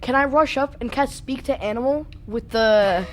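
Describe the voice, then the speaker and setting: Woman gamer improvising casually at the table, highish voice, slight noise behind. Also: moderate pace. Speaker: player in a tabletop role-playing game. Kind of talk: casual improvised game play.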